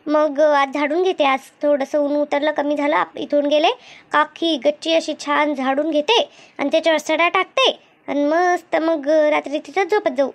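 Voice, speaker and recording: A woman voicing a chatty, good-humoured village gossip in an animated comedy, with a rural Maharashtrian accent and exclaiming, colloquial talk. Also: high voice, moderate pace. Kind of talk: animated cartoon comedy dialogue.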